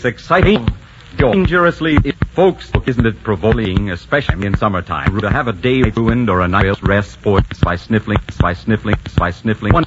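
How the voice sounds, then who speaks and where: A man's voice: on the low side, animated, moderate pace. A man announcing in scripted 1940s radio style, reading sponsor copy and credits. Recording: very noisy, muffled 1940s radio recording.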